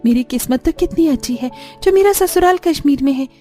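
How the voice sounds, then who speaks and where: A woman voicing a gentle, devoted young bride in a cartoon story, with a sweet, earnest manner. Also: sits high, fairly quick, faint music behind, voice pushed up, lightly acted. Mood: dreamy excitement. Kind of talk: Hindi cartoon story dialogue.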